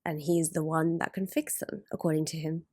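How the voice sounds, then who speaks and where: A woman hosting a reaction video with casual, off-the-cuff commentary, halting as she thinks aloud. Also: deep voice, fairly even intonation, mellow, no echo, clean studio sound.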